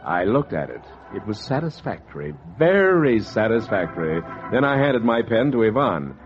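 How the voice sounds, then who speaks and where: An actor playing a greedy, cynical schemer in a 1940s noir radio drama, with wry, dramatic delivery. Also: male voice, lowish voice, sing-song, normal speed, faint music behind. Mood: smug. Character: greedy, cynical male schemer telling his story. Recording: dull vintage 1940s radio recording.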